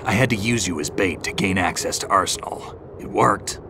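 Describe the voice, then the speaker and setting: An actor in a video game cutscene playing a gruff, gravelly, world-weary veteran soldier who talks tersely and dryly. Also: male voice, deep voice, moderate pace, noisy background. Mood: terse, matter-of-fact. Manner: terse, dry, unflappable.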